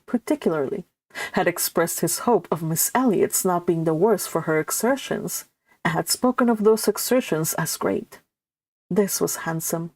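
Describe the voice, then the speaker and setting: Female read-along host, mid-pitched, classic fiction with chatty, expressive asides, dry recording. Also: no echo. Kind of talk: reading a classic novel aloud with casual asides.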